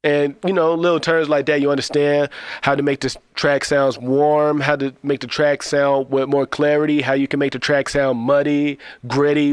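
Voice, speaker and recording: A man's voice: mid-range voice, clear, resonant tone, dry recording. A man co-hosting a podcast, casually explaining things in short phrases and lists. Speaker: podcast co-host. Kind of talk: casual instructional talk.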